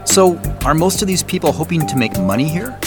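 A man's voice: a little high, very animated, fairly quick, music in the background. An actor playing a hesitant, skeptical man who asks questions in an understated way, in audio drama dialogue. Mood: puzzled, curious. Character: hesitant, skeptical man, a reluctant newcomer tagging along.

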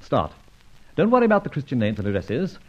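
An actor playing a suave, mocking gentleman adventurer, sardonic and mock-courteous, in an old radio drama. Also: mid-range voice, huge pitch swings, fairly quick. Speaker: lead and narrator of radio serial. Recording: muffled old radio recording.

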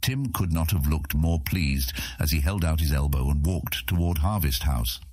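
A man reads scripted fiction in a very low voice with a husky grain. His intonation moves freely as he tells the story, and the sound is close and dry. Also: moderate pace, darkish tone, no echo. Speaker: audiobook narrator.

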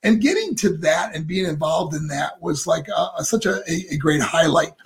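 High raspy man telling old stories. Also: expressive, normal speed. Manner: rambling, lots of "you know" fillers. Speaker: guest, former pro wrestler. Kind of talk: casual interview storytelling.

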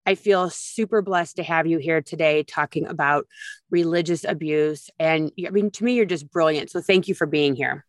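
A woman's voice: sits low, moderate pace, no room sound. A woman hosting a podcast, talking in an effusive, gushing way to her guest and listeners. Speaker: podcast host. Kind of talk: casual podcast chat and ad read.

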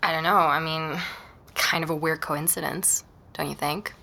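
Bright-voiced anxious young woman. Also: deep voice, steady tone, moderate pace, vocal fry, exaggerated voice. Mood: subdued, uneasy. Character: anxious young woman investigator recounting her ordeal. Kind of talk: audio drama acting. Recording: faint background noise.

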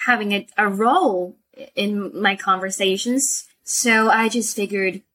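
A woman from Spain, an English teacher, speaking English with a soft American accent as a guest in a casual interview, enthusiastic and animated. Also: mid-range voice, a little slow, low-quality recording. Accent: soft American English learned by a Spanish speaker. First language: Spanish.

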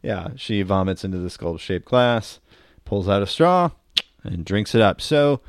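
A man with a fairly low voice chats as a comedy podcast co-host. His pitch moves around a lot, and he explains things wryly with plenty of asides. The recording is close and dry. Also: animated, unhurried, dry recording. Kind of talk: casual comedic podcast chat.

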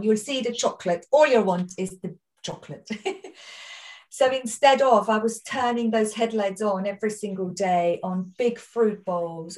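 A woman's voice: medium pitch, darkish tone, recorded from a distance, rough recording. A woman from Austria with an Austrian accent, a podcast guest talking earnestly in casual chat. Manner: earnest, storytelling.

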